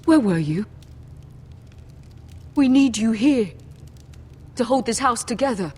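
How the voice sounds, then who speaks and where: Slow-spoken anguished noblewoman in echoing hall. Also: animated, darkish tone, exaggerated voice. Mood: anguished reproach. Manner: reproachful, pleading, formal. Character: distraught noble mother of a fallen house. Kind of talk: dramatic video game acting. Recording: long hall reverb, clear background rumble.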